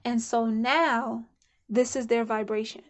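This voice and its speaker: A woman's voice: on the high side, fairly even intonation, a little slow. A woman giving a casual pick-a-card tarot reading to camera, chatty and candid, thinking aloud as she goes. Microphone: camera mic.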